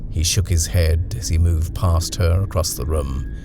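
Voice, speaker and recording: A man reading a horror story aloud in a measured, expressive narration. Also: deep voice. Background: music.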